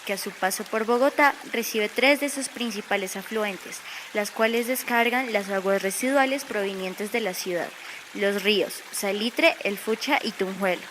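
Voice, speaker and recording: A woman's voice: medium pitch, normal speed, noisy background. A woman reading a scripted narration aloud.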